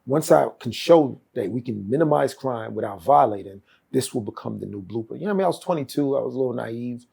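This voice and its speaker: A man talks quickly in a gravelly, husky voice, darkly toned and low in brightness. He tells his story as a podcast guest, peppering it with streetwise slang and checking in with the listener. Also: lively intonation. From Brooklyn, New York. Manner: streetwise slang, fast, frequent "you feel me". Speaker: guest, former police officer.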